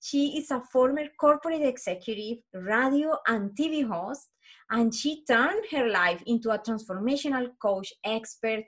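A woman's voice: a little high, animated, moderate pace. A woman hosting an interview show, introducing her guest in an earnest, effusive way with small stumbles. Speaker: podcast host.

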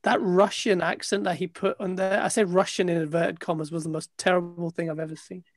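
Joking man with a gravelly voice. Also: sits high, brisk, dry recording, clean studio sound. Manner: joking, teasing, bursts of excited loud exclamations. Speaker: interview co-host. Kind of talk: casual interview chat over a call. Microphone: headset.

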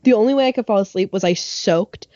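A young woman co-hosting a casual chat, animated and laughing often, a little sniffly from a cold. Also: medium pitch, quick pace, no echo, lo-fi sound.

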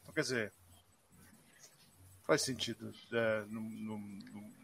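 A Brazilian man co-hosting a casual film podcast, animated and full of restarts and fillers. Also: fairly low pitch, a little slow, vocal fry, a crowd in the background. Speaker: podcast co-host.

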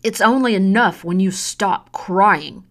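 A woman voicing a drunk, cruel mother who taunts and shouts with harsh scorn, in a storybook reading. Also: fairly low pitch, measured pace. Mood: shouting, cruel. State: drunk, slurring. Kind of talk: audiobook narration.

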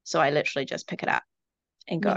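A woman's voice: low voice, quick pace, gravelly, a bit bright, dry recording. A woman from New Zealand with a New Zealand accent, talking candidly on a phone in a coaching group call, rambling with many ums. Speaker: coaching client.

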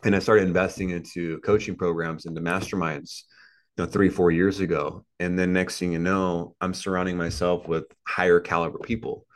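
Male, 30s, low register, earnest motivational interviewee, emphatic and repetitive delivery. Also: level tone, moderate pace. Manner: earnest, emphatic, repetitive phrasing with rhetorical tags. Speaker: podcast guest, entrepreneur.

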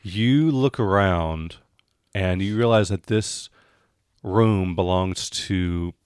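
A man's voice: deep voice, slow, a little mellow, clean tone, no room sound, clean studio sound. A man acting as game master, improvising narration for a tabletop mystery game and fielding the players' questions.

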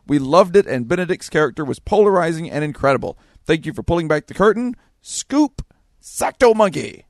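A man with a slightly high voice co-hosts a casual podcast. He moves between reading mail aloud and loose banter, in a close, dry recording with little room sound. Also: lively intonation, quick pace, no room sound.

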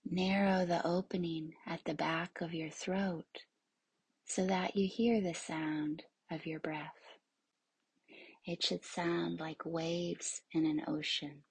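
A woman leading a guided yoga nidra meditation in a soothing, hushed, breathy voice that often nears a whisper, with long pauses. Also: lowish voice, flat delivery, no room sound.

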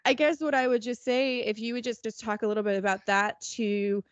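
A woman hosting a podcast, chatting in a relaxed way and laughing often. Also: on the high side, brisk, no room sound, dull audio. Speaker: podcast host. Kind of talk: casual podcast chat.

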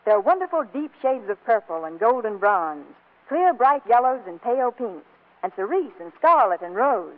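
A woman's voice: fairly high pitch, sing-song, normal speed. A woman reading scripted 1940s radio commercial dialogue as a spokeswoman, on a muffled vintage broadcast.

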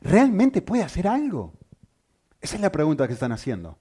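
A man preaching, his voice sitting a little high for a man and swinging widely up and down as he works through the sermon. He keeps turning to the listeners with rhetorical questions. The delivery is lively and emphatic throughout. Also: normal speed, husky. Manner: animated, rhetorical questions to the congregation.

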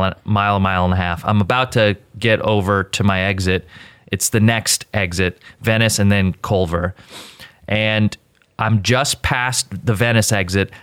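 A man with an American accent, a show co-host, telling a casual, self-deprecating anecdote in a slightly rough voice. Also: on the low side, no room sound, clean studio sound. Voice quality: slightly rough voice from screaming the night before. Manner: self-deprecating, animated retelling with acted-out quotes.

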